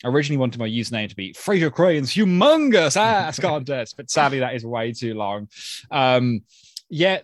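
A man's voice: mid-range voice, big pitch swings, normal speed, dry recording. Male podcast host, mid voice, casual, dry close mic. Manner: easygoing, chatty, with fillers and laughs. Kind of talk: casual chat, reading listener mail.

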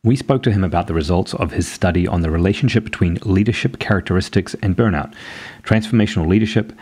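A man's voice: low-pitched, fast talker, darkish tone, slightly rough. A man co-hosting a podcast, introducing the guest and asking questions in a friendly tone. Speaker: podcast co-host.

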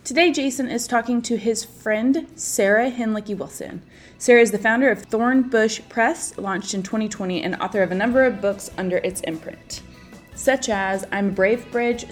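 Woman announcer, scripted intro, smooth read, faint music underneath. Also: medium pitch. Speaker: show announcer.

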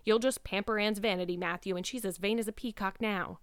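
Storybook guardian read by a woman: no-nonsense older woman, stiff, brisk, disapproving, mid-pitched. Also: steady tone, clean tone, no echo, lightly acted. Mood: stiff, scornful.

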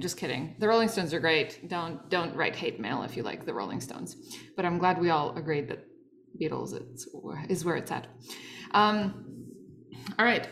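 Woman, podcast host, somewhat low pitch, chatty, laughs a lot. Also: fairly bright tone. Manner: laughs often, friendly and chatty. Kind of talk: casual chat.

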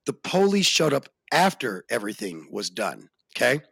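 Gravelly deep-voiced American man. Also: normal speed, no room sound, studio quality. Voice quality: deep bassy voice. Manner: animated, slangy, swings pitch for emphasis. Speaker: podcast host. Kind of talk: casual podcast chat.

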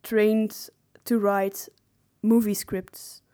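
A woman's voice: mid-range voice, flat delivery, drawn-out words, dark tone. Female podcast co-host, mid-range voice, casual conversational delivery. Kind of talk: casual discussion.

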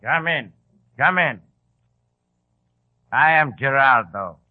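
A formal, menacing bodyguard in an old radio thriller. The delivery is deliberate and ominously polite, at a medium pitch. The sound is narrow and tinny. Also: male voice, animated. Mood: menacingly polite. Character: sinister, formal, disfigured male henchman and bodyguard. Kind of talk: 1940s radio acting. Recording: tinny, band-limited old radio broadcast.

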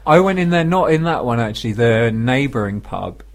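A man's voice: medium pitch, lively intonation, a little fast. A man with a British accent co-hosting a radio show in casual comic chat, with wry banter. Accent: British English.

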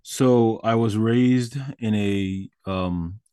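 A man's voice: on the low side, very slow, dark tone, smooth, no echo, studio quality. A man from Ghana, a podcast guest, chatting animatedly and emphatically, often restarting his sentences. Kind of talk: casual interview chat.

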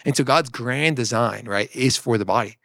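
A man's voice: mid-range voice, normal speed, creaky, a bit bright, no room sound. A man with a mid-range voice talks through theology in a conversational, teaching way. He explains point by point and keeps checking in with short tag questions. The sound is dry and close. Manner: explanatory, often adds "right?" as a check. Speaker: discussion co-presenter teaching theology.

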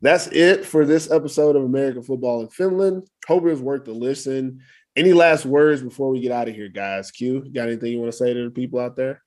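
A man's voice: mid-range voice, fast talker, darkish tone, soft background music. A man hosting a podcast, wrapping up the episode in an upbeat, joking way. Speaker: podcast host. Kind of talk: casual podcast outro.

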